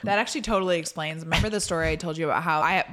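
A young woman co-hosting a podcast with her partner, teasing him and reacting quickly in casual chat. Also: low voice, fairly bright tone. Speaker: podcast co-host.

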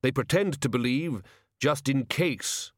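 A man's voice: mid-range voice, a little slow, dry recording, clean studio sound, close to an everyday voice. A man playing a ponderous, solemn hooded figure with a heavy voice and a literal, gloomy manner.

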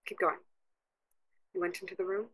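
An actor playing a calm confidant in an audio drama, insistently probing to draw out painful memories. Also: medium pitch, moderate pace, slightly rough, no room sound.

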